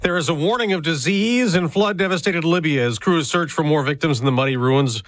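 A man reading radio news headlines in a crisp, measured way. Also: a little high, dry recording.

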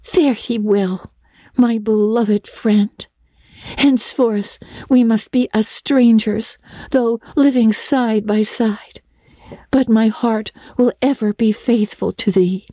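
Woman playing a high-voiced, melodramatic young girl. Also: steady tone, a little slow, dark tone, dry recording, studio quality. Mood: solemn, romantic. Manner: theatrical, solemn, flowery old-fashioned speech. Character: Anne, earnest, romantic, melodramatic young orphan girl. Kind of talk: dramatic audiobook reading.

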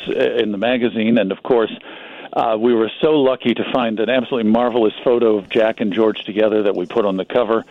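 A man talking as a guest in a radio interview, telling stories in a measured, hesitant way with pauses. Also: mid-range voice, no room sound, narrow, tinny audio. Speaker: guest, magazine publisher.

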